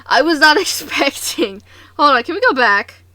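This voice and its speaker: Bright-voiced woman reacting casually to videos. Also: high voice, normal speed, no echo. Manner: animated, exclamatory, slangy.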